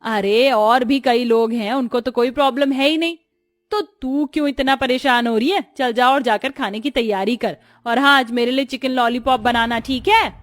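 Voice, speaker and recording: Woman doing bossy indian saas in a cartoon, scolding, lower voice, dry close sound. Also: normal speed, no room sound, natural voice. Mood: dismissive, bossy. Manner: commanding, scolding. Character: stern bossy middle-aged Indian mother-in-law, scolding and dismissive. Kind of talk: cartoon story dialogue.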